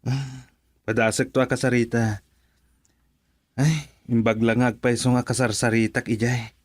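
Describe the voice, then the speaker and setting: An actor playing an easygoing but somewhat reluctant young husband in a radio drama. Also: male voice, medium pitch, level tone, moderate pace, lightly acted.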